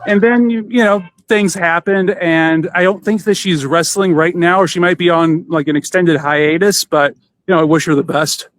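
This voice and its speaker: A man's voice: sits high, steady tone, normal speed, a bit bright. A man hosting a podcast, talking casually in a rambling, enthusiastic fan's way. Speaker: podcast host. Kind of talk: casual chat about wrestling. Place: outdoors in front of a theater.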